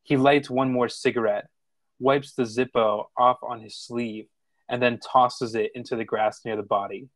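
A man's voice: mid-range voice, steady tone, normal speed, no echo. Narrator, male: mid-register, informal reading of stage directions, close dry sound. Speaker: narrator of a screenplay table read.

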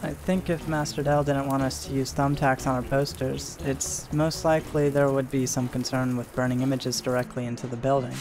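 A man's voice: medium pitch, flat delivery, brisk, few pauses, over music, lightly acted. Web cartoon boy, stiffly courteous and formal, voiced by a man. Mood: earnest. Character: polite boy guided by past lives.

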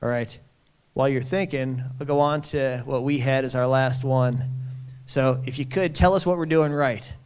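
A man's voice: mid-range voice, fairly even intonation, moderate pace, a little mellow, clear, resonant tone. A man hosting a base town hall, reading out audience questions and answering them in a plainspoken, reassuring way. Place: conference center.

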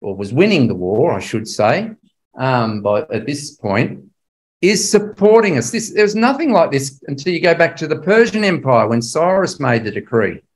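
A man's voice: mid-range voice, big pitch swings, moderate pace, low-quality recording. An older man giving a Bible class history lecture in a conversational, emphatic way, often putting rhetorical questions to his listeners.

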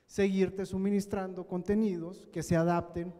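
A man's voice: sits high, level tone, normal speed, mellow. A middle-aged man with a Mexican accent giving a conversational lecture, checking in with short questions to his listeners. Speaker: conference speaker. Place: large conference room, indoors. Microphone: handheld microphone. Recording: reverberant conference hall.

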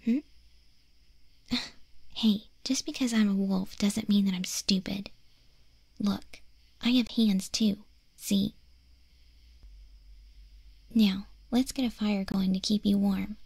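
Woman acting a gentle young wolf girl, breathy whisper, unhurried, curious, hesitant, ASMR roleplay. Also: mid-range voice, fairly even intonation, no echo, heavily acted. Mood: gentle, caring. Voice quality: soft, breathy, mostly whispered.

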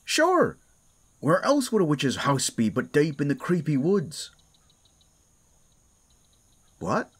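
Woman acting a restless young adventurer, wildly swooping pitch. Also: huge pitch swings, slow, pauses often, slightly rough, exaggerated voice. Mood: exasperated. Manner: blunt, bantering, exclamatory. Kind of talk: audio roleplay acting.

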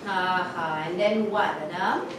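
A woman playing a calm, gently teasing mother on the phone to her son, in a staged reading on a theatre stage. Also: lowish voice, unhurried, close to an everyday voice. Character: Malay mother, gentle, teasing, set on travelling. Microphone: far camera, no visible mic. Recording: echoey hall from far off, noisy.

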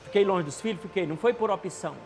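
A man's voice: sits high, quick pace, noisy background. A man in his fifties with a northeastern Brazilian accent, speaking earnestly in a confessional interview about his own life. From rural Ceará, northeastern Brazil. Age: 50s. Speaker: snack bar co-owner. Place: indoor restaurant, seated interview.

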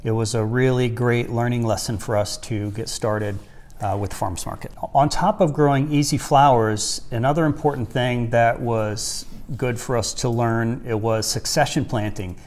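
Plain-spoken man, medium pitch, giving advice. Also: steady tone, normal speed, darkish tone. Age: 40s. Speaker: flower farmer and co-host. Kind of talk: advice chat to camera. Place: small wood-panelled room indoors by a stove. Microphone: lapel mic.

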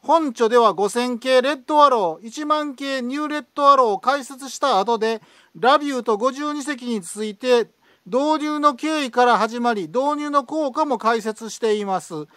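A man with an extremely high-pitched, bright voice reads scripted promotional narration. The recording is dry and close. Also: moderate pace, dry recording.

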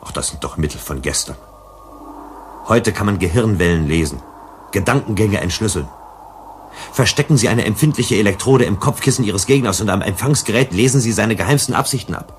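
A man acts a principled male psychologist in a sci-fi radio play. His lowish voice swings expressively as he argues and lectures with emphasis. There is faint noise behind him. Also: expressive, a little fast, natural voice. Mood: sardonic lecturing. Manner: emphatic, didactic. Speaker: radio drama actor.

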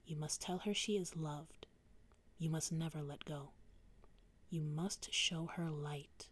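A young woman reads poetry for ASMR in a hushed, breathy whisper, drifting nearer and farther from the microphone. She takes her time over every line, unhurried and slow. When her voice rises above the whisper, it sits a little low. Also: fairly even intonation. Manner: soft unvoiced whispering, varied mic distance.

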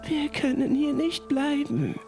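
A terrified woman, acted by a man in a horror story, trembling and close to tears. The voice is pitched high and breathy, often sinking to a whisper, with music and rain behind it. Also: wildly swooping pitch, unhurried, mellow, full character voice. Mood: whispered pleading. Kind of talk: horror audiobook character voice.

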